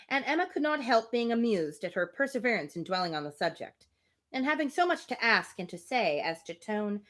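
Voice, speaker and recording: Female livestream book reader, medium pitch, very animated intonation, informal and expressive. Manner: expressive, informal, breaks off to react. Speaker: reader hosting a livestream reading. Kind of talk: live novel read-aloud with casual asides.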